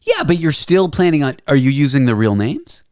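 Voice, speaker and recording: Thirties male podcast host, teasing and deadpan, lively swooping pitch, dry close mic. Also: fairly quick, dry recording. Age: 30s. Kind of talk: casual comedic chat.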